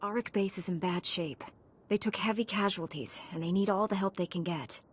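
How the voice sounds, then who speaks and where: Casting: actress as self-assured warrior knight for a sci-fi game, calm, blunt, mid-pitched, ringing hall acoustic. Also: female voice, moderate pace, husky, natural voice. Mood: composed, blunt. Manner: calm, matter-of-fact, assured. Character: composed, blunt female Jedi knight. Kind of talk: scripted video game cutscene acting. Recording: long reverberant hall, faint background rumble.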